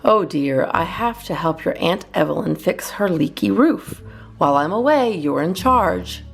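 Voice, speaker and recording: A woman voicing a wise old father owl in a children's storybook, stern and instructive in a measured way. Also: lowish voice, expressive, vocal fry, faint music behind. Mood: fussy, instructive.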